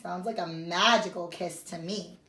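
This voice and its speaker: A woman's voice: lowish voice, steady tone, normal speed, sharp tone. A woman teacher doing a read-aloud lesson for young children, explaining simply and addressing them directly. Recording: roomy echo.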